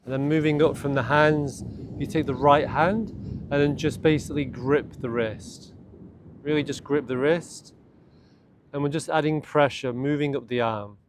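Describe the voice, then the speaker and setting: A man leads a guided morning meditation and self-massage in a gentle, unhurried, soothing way, speaking in short phrases. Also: on the high side. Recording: clear steady background ambient noise.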